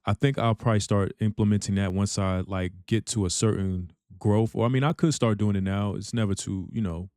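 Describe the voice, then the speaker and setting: A man with a deep voice hosting a reaction channel, chatting casually and filling his talk with "you know what I'm saying.". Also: steady tone, fairly quick, few pauses, a little mellow, clean tone, dry recording, clean studio sound.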